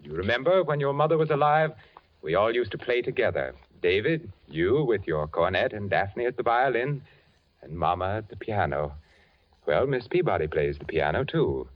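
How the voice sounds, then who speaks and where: A genteel, uneasy widowed father, lower-voiced, very lively pitch, dull old radio sound. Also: moderate pace. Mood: insistent. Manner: genteel, nervously insistent. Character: eccentric rich widowed father, smooth and uneasy. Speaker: radio drama actor. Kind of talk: 1940s radio acting. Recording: dull lo-fi 1940s radio broadcast.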